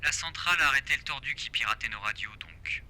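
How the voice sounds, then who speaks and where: An actor playing a forest ranger in a French audio drama, reassuring his partner in a hushed, evasive way. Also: medium pitch, fast talker, slight background noise. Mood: soothing. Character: male forest ranger soothing his frightened wife.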